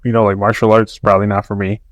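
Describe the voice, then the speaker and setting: A man's voice: lowish voice, level tone, racing, no room sound. A man from Mexico, a podcast host, talking loosely and profanely with plenty of laughter. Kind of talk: casual chat.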